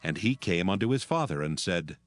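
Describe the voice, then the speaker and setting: A man narrating a dramatized scripture reading in a measured, solemn storytelling manner. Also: low voice, expressive, soft background music.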